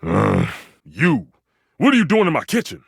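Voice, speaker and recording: An actor playing a proud, temperamental, food-obsessed stove chef in a video game, with pompous, flowery delivery and exasperated outbursts. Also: male voice, on the high side, huge pitch swings, moderate pace, vocal fry, slightly rough, dry recording, clean studio sound, full character voice. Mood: haughty, irritated. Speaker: voice actor in a dating simulator game. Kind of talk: theatrical video game character acting.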